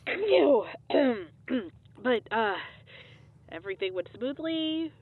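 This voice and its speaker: A woman's voice: sits high, big pitch swings, slow, heavily acted. A woman playing a wry, anxious colleague on a muffled phone line in a comedic audio drama, questioning hesitantly. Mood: wry, probing.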